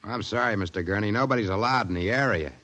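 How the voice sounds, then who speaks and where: A man's voice: lowish voice, fast, pushed and bright. An actor playing a blunt, working-class male security guard in a 1950s radio drama. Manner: blunt and matter-of-fact.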